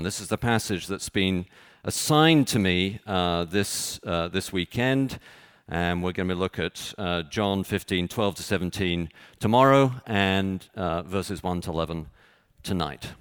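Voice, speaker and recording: Texan guy preaching, takes his time, lots of uh's, low-ish voice. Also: a bit bright, clear, resonant tone, dry recording, clean studio sound. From Texas. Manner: measured, halting with frequent uh's. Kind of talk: sermon with scripture reading.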